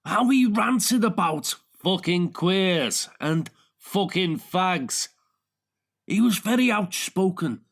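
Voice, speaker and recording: A male narrator voices an outspoken witness in a nonfiction audiobook. He is blunt and emphatic, with a raised, animated pitch. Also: slow, full character voice. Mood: indignant. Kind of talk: quoted recollection in a nonfiction audiobook.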